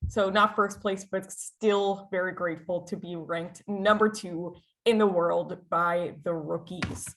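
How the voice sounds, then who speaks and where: A woman's voice: lowish voice, fairly even intonation, unhurried, few pauses, a bit bright, low-quality recording. An American woman presenting her school on a video-call webinar, upbeat and chatty, with frequent filler sounds and restarts. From United States.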